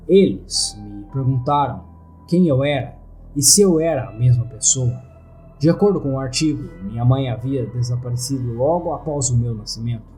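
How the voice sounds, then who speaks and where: A man with a Brazilian accent narrating a first-person horror story in a grave, measured way. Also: mid-range voice, dark tone, rough recording. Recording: faint music bed behind narration.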